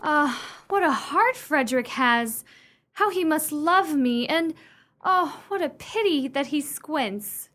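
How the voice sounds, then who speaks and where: A woman's voice: sits high, lively intonation, slow pace, a bit bright, heavily acted. A woman playing a haughty, melodramatic young lady torn between suitors, in a theatrical comic period radio play. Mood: swooning, lovestruck. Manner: theatrical, grandly indignant.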